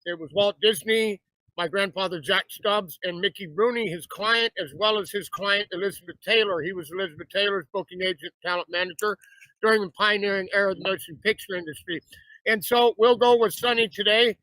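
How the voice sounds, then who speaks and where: Bright-voiced, effusive male talk show host. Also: sits high, brisk, no room sound, rough recording. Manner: effusive, repeats "absolutely fabulous". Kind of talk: introducing and interviewing a guest.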